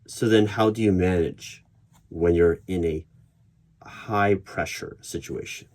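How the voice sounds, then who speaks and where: A man playing an interviewer in an ASMR job interview roleplay, putting probing questions in a measured way. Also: low-pitched, a little mellow. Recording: clear background noise. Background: computer keyboard typing and clicks.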